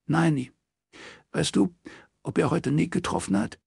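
A man voicing a distraught woman in an audiobook, crying and stammering haltingly through a phone call. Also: mid-range voice, huge pitch swings, creaky, breathy edge, no room sound, studio quality, pitched above the performer's own voice, full character voice. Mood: toneless, strained.

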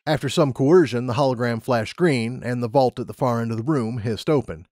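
A man's voice: medium pitch, fairly quick, darkish tone, no room sound, studio quality. A man narrating a scripted story as an audiobook narrator.

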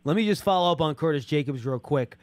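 Male American sports host, high-pitched, rapid-fire interviewer, probing. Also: expressive, no room sound. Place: small indoor studio. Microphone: close broadcast boom microphone.

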